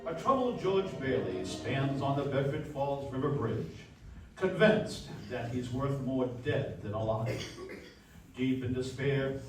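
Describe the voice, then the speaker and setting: A man's voice: mid-range voice, takes it slowly, over music, natural voice. A man playing a polished, genial 1940s radio announcer in a live stage radio play, with formal, projected delivery. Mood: solemn, dramatic. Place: live stage performance in a dance hall. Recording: echoey hall, distant mic, live stage.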